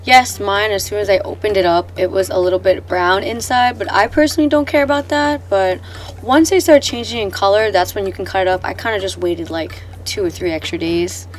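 A woman's voice: medium pitch, fairly quick. A young woman with a stuffy, congested voice from a cold, talking casually through a cooking tutorial in her home kitchen, with slang and fillers. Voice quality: chatty, laid-back, sprinkles in slang and fillers. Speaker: cooking vlogger.

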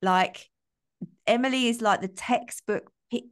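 An enthusiastic woman podcast host in her thirties, chatting warmly with a guest and repeating her excitement, on a desk mic. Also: on the high side, unhurried. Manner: gushing, enthusiastic, repeats herself. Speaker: podcast host, self-belief coach. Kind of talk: casual interview chat. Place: bright home office. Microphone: studio desk mic on a video call.

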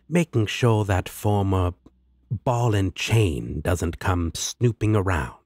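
A man's voice: sits low, a little mellow, slightly rough, no room sound, studio quality, exaggerated voice. A man playing a laid-back, sarcastic, protective demon roommate in an audio roleplay, with a drawling manner. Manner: drawling, sardonic.

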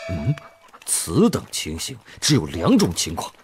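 A man's voice: low voice, wildly swooping pitch, unhurried, over music, full character voice. A male voice actor playing a pompous, playful middle-aged magistrate detective in a comedic radio drama, lecturing in mock-solemn fashion.

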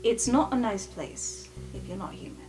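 A woman's voice: a little high, lively intonation, normal speed, over music, lightly acted. A woman playing a guarded, resentful city woman in improvised tabletop roleplay, hesitant and trailing into murmurs. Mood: guarded, wary.

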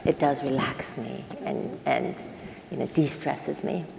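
A woman with a low voice speaks informally as a panelist. Her phrasing is halting and hesitant. The sound is echoey, with faint noise around her. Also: fairly even intonation, gravelly. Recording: echoey hall, noisy room tone.

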